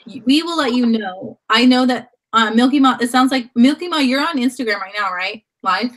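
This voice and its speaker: A woman with a slightly high voice hosts a live online chat. She has a sore throat from a cold, and she rambles and restarts her sentences as she talks over a video call. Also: lively intonation, fairly quick, fairly bright tone. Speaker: host.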